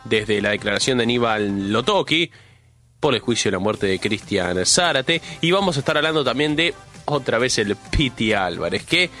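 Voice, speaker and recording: A man's voice: medium pitch, fairly bright tone. A male radio host with a Rioplatense Spanish accent, opening the show with a chatty monologue while congested with a cold, drawing out words for emphasis. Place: radio studio.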